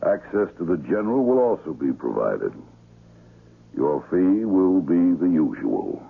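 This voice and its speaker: An actor playing a cool, businesslike handler of contract killers in a 1970s radio drama, formal and authoritative. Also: male voice, low-pitched, very animated, measured pace, creaky, slightly rough, full character voice. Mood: firm, instructing. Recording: muffled, phone-like vintage radio.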